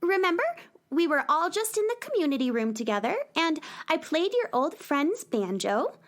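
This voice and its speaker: A woman's voice: high-pitched, big pitch swings, moderate pace. A woman voicing a young girl space explorer in a radio play, earnest and polite, stammering when nervous. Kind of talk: radio drama acting.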